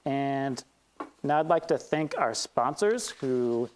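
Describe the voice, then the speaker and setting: A man hosts a community talk evening, reading prepared remarks in a steady manner. When he thanks sponsors and introduces the guest, he talks more loosely, with a few ums. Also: mid-range voice, slow pace, a little hiss or hum. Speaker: event host. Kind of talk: reading a land acknowledgement and introducing a speaker. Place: event hall with audience.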